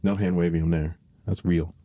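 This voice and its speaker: Casual podcast host, male, low voice, dry close sound, loose explanatory delivery. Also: level tone, moderate pace, no room sound, highs cut off.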